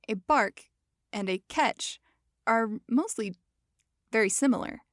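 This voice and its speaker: A woman's voice: mid-range voice, no room sound. A woman hosts a podcast in a loose, joking teaching manner, poking fun at herself as she goes. Her voice sits mid-range and is recorded dry and close with no room sound. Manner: playful, jokey, self-mocking. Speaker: podcast host. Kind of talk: casual educational podcast talk.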